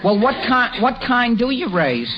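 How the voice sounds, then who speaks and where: A man's voice: very high voice, big pitch swings, unhurried, crowd noise, background noise, heavily acted. An actor playing an eager, gullible teenage boy in old radio comedy style, chatty and polite, full of questions and stammered starts. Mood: curious, excited. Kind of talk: 1930s-40s radio comedy acting. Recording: dull, muffled old radio recording.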